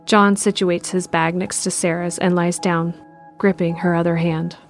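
A female narrator with a slightly low voice reads the stage action of an audio drama in scripted style. Soft music sits underneath. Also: level tone, normal speed.

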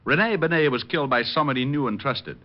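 An actor playing a suave, quick-witted male private detective in a 1950s radio drama, cool and sardonic. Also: mid-range voice, lively intonation, natural voice. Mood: calm, knowing. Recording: dull old radio broadcast.

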